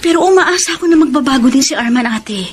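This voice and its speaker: Plaintive long-suffering wife, higher voice. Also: female voice, animated, quick pace, slight background noise. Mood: sad, earnest. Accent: Filipino. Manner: plaintive, emotional. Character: long-suffering, devoted, lonely wife and mother. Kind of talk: Tagalog radio drama acting.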